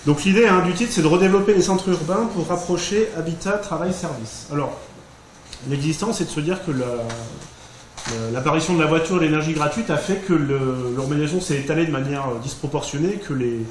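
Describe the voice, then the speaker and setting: A French man giving an informal spoken summary of a group's work, hesitating often with fillers and restarts. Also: a little high. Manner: thinking aloud, many fillers and restarts. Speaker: workshop participant presenting group results. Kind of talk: informal presentation. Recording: echoey room, faint background hiss.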